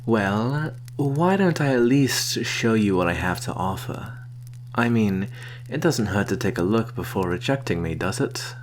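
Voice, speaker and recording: A man playing a sly vampire posing as a traveling merchant, soft-spoken and coaxing in an ASMR roleplay. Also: lowish voice, expressive, slight background noise. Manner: soft-spoken, coaxing, deliberate with sighs and soft chuckles.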